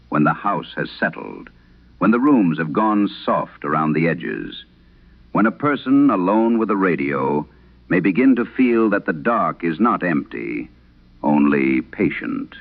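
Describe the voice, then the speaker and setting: A man playing a solemn late-night radio host, narrating eerie letters in a grave, deliberate, formal way. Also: very deep voice, big pitch swings, full character voice. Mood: solemn, ominous. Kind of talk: vintage radio horror narration. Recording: muffled old radio broadcast sound.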